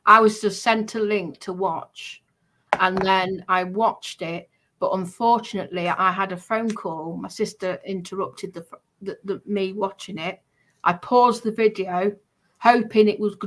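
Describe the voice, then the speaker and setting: A woman streamer chatting casually in a halting way, often repeating herself. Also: mid-range voice, a bit bright, slight background noise. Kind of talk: casual chat.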